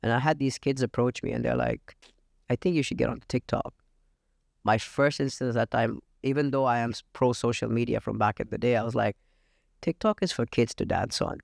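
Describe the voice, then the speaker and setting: A man from India, a real estate agent talking as a podcast guest, confident and matter-of-fact with frequent pauses. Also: mid-range voice, steady tone, creaky, no room sound, clean studio sound. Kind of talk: casual interview talk. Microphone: podcast studio mic.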